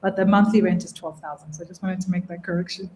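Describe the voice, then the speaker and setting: A woman from Uganda, presenting her property deals to a judging panel over a live call in a measured, businesslike way. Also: on the low side, level tone, darkish tone, slight background noise. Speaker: presenting investor.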